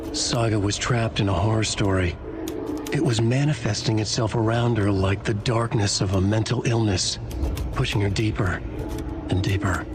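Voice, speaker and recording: Low brooding horror game narrator. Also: male voice. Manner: measured, noir-like, solemn delivery. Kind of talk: scripted dramatic narration. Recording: music behind the voice.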